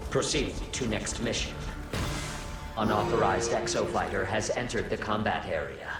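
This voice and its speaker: A man as a cold, echoing, low-voiced game AI overseer. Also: normal speed, close to an everyday voice. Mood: cold, commanding. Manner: formal, clipped, detached and commanding. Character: cold deranged AI overseer of war games. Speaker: announcer of combat objectives and alerts. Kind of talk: video game scripted announcements. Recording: echoing voice under loud game music. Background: loud music and mechanical game sounds.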